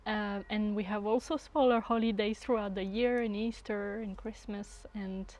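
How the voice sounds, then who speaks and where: A woman in her thirties from France, a guest sound artist talking unhurriedly and often pausing to search for words. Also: mid-range voice, steady tone, a little mellow, low-quality recording. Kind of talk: casual conversation.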